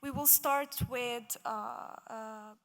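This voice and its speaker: A woman chairing a conference session, introducing a speaker in a hesitant, halting way. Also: a little high, steady tone, fairly bright tone. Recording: reverberant conference hall.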